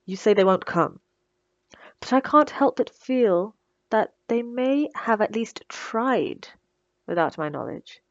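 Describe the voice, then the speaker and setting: A woman's voice: on the high side, animated, slow pace, no room sound, lo-fi sound. A woman playing a smug, sarcastic female supervillain who taunts her captive with theatrical condescension in an ASMR roleplay. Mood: puzzled, probing. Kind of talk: ASMR roleplay audio drama.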